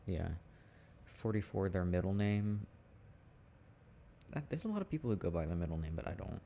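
A man playing a teasing, easygoing boyfriend in a casual, intimate ASMR roleplay, talking in a halting, musing way. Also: deep voice, big pitch swings, creaky, warm tone, a little hiss or hum, full character voice. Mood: relaxed musing. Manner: halting, musing, chuckling delivery. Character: teasing, easygoing boyfriend chatting with his partner.

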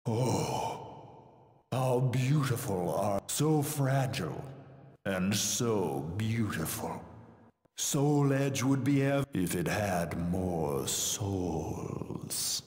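A man's voice: lowish voice, expressive, extremely slow, a little mellow, slightly rough, long reverb tail, heavily acted. An actor playing a proud, menacing male warrior in a fighting video game, delivering theatrical, haughty lines full of disdain for weaker foes. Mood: sinister, savouring. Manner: haughty, declamatory, dramatic.

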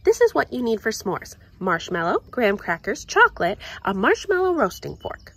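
A woman reading a children's picture book aloud in an expressive, deliberate storytelling manner. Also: fairly high pitch, a little hiss or hum. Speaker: story time reader.

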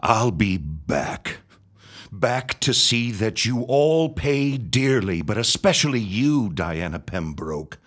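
Menacing period-drama reverend, deep and unhurried, self-righteous, taunting, preacherly. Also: male voice, big pitch swings, a bit bright, full character voice. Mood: vengeful. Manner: slow, grandiose, preacherly threatening. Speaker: actor in an audio drama. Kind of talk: period audio drama acting.

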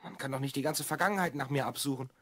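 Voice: medium pitch, quick pace, no room sound, clean studio sound, close to an everyday voice. A performer playing a secretive boy of twelve from the future in an audio drama, explaining things confidingly. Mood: troubled. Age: child character, twelve. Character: Rhonn, secretive stranded boy time traveller from the future. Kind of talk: German audio drama acting.

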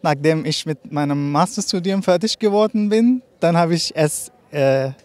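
A man's voice: fairly high pitch, moderate pace, darkish tone. A young man from India, speaking hesitantly.

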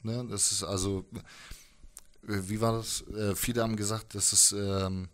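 Male musician, thirties, lowish voice, loose hesitant interview chat. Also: little pitch movement, moderate pace, fairly bright tone. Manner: hesitant, informal slangy talk. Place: living room with instruments, indoors. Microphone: handheld microphone held close.

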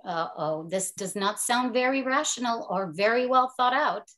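A woman's voice: fairly high pitch, lively intonation, moderate pace, fairly bright tone. A woman playing a strict, businesslike therapist. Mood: firm. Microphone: video call webcam.

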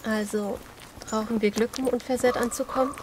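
A woman's voice: fairly high pitch, fairly even intonation, fairly quick, noisy background, lightly acted. A woman playing a hopeful, inquisitive survivor in a horror audio drama. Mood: questioning.

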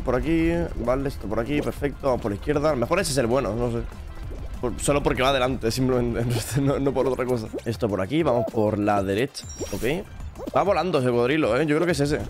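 A young man from Spain with a Spain Spanish accent, casually commentating his own gameplay with a lingering cough, speaking into a boom mic at home. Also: a little mellow. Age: young adult. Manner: upbeat, chatty, addresses viewers directly. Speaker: gaming youtuber. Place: home gaming room with shelves of figures. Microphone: studio mic on boom arm, headset on.

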